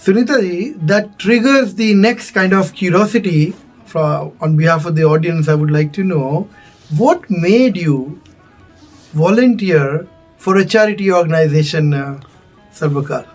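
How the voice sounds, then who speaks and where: High-voiced, courteous male interviewer. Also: a little slow, faint music behind, poor audio. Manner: courteous, uses honorific address. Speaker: interview host. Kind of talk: interview questions.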